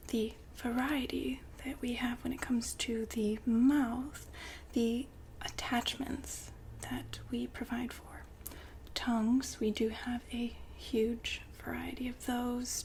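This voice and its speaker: A woman performs an ASMR roleplay as a polite saleswoman in a breathy whisper. Her delivery is unhurried and very even, with gentle patter. A faint ambient hiss sits behind the voice. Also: on the high side.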